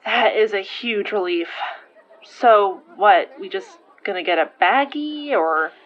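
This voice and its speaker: Casting: wry, protective young female sidekick for audio fiction, informal and blunt, medium-pitched voice. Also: lively intonation, takes it slowly, highs cut off. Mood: relieved, wry. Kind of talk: audio fiction dialogue acting.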